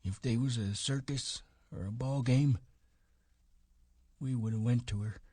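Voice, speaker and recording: Old ranch hand, bitter and trembling, read by a male narrator, pitched up, close dry audiobook read. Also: unhurried, dark tone, husky, no echo. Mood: grieving. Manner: bitter, shaky, sing-song.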